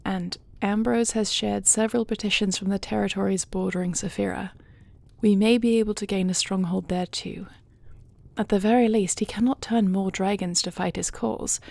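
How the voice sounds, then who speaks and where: A woman playing a dragon queen and devoted wife in an audio roleplay, speaking in a formal, measured, earnest way. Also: mid-range voice, fairly even intonation, creaky, darkish tone, no echo. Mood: reassuring, resolute.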